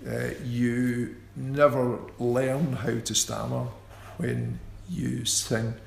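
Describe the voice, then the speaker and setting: Man, interview guest, stammering speech, slow pace, gravelly tone. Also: medium pitch, flat delivery. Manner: stammers, with repeated sounds and restarts; slow and considered. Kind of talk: radio interview. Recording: echoey room with faint ambient noise.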